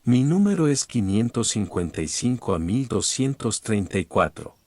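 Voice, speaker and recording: Lower-voiced man reading Spanish phrases. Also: normal speed, dry recording. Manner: careful, even delivery for repetition. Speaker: Spanish phrase reader in a lesson. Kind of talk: scripted phrase reading for learners.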